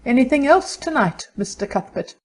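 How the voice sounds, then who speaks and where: A woman playing a brisk, ingratiating, slightly lofty shop saleswoman in an audiobook drama, polite and cheerful. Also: high voice, very animated.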